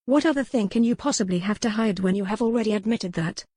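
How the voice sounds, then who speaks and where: A woman's voice: mid-range voice, fast talker, warm tone, breathy edge, dry recording, studio quality, natural voice. A woman voicing a strong-willed captive noblewoman in an audiobook, formal, pointed and challenging. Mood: wry, challenging. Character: defiant, sharp-witted captive noble lady, strong-willed. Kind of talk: audiobook character dialogue.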